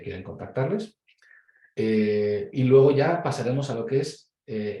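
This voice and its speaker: A man with a Spain Spanish accent giving an online conference presentation, measured and explanatory, with frequent hesitations over a video call. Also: medium pitch, mellow. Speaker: conference speaker. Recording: echoey room.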